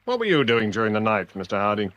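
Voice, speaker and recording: A man's voice: on the low side, very animated, quick pace, fairly bright tone, muffled recording. A man with an English accent playing a brusque, sardonic chief inspector in a radio drama, with curt, dry questioning. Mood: brusque, suspicious.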